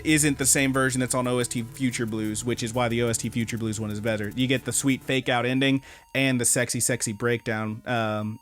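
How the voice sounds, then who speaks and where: A man's voice: medium pitch, a bit bright. A man co-hosting a podcast in a casual chat, talking with slangy enthusiasm. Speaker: podcast co-host.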